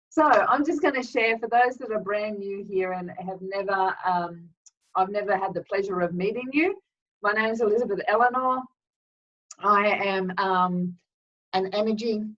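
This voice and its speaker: A woman energy healer hosting a live webinar with a slightly croaky throat, chatting casually with lots of ums and greeting viewers by name. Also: mid-range voice, fairly bright tone. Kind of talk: casual live-stream talk. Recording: distant, dull lo-fi webinar audio.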